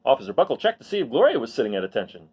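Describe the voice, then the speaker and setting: A man reading a children's picture book aloud expressively, pausing for asides and questions to his listeners. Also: mid-range voice, big pitch swings, darkish tone, husky. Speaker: read-aloud storyteller.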